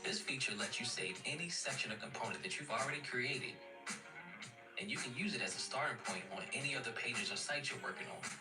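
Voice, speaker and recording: A man's voice: mid-range voice, animated, fairly quick. A man presenting a casual, slangy scripted tutorial advertisement. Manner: informal, slangy. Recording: roomy, distant playback under loud music.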